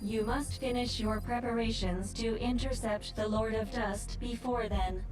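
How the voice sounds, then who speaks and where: A woman playing a calm, analytical female artificial intelligence in a video game cutscene, speaking formally and reassuringly in measured phrases. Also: on the high side, lightly acted. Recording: echoing, distant voice over game music.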